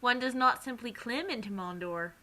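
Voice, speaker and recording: A woman's voice: a little high, normal speed, sharp tone. A woman chatting casually as a co-commentator, poking fun at a story. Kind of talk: casual mocking chat.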